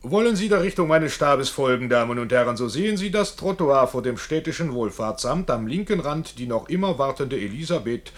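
A man's voice: mid-range voice, lively intonation, brisk, few pauses, natural voice. A man playing a showman-like radio drama narrator who presents scenes with florid, ironic ceremony. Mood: grandly presenting. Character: showman-like narrator presenting tableaux with grand flourish.

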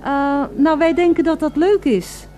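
A woman's voice: high-pitched, steady tone, a little slow, mellow, soft background music. An actress playing an eager, polite female radio reporter in a radio drama, with formal, florid and emphatic delivery. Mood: polite, flustered.

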